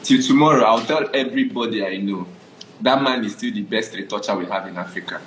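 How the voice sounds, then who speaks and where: A man's voice: lowish voice, brisk, slight background noise. Nigerian man telling his story, echoey. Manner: animated storytelling, often asks "do you understand?". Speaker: guest photographer. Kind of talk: casual interview.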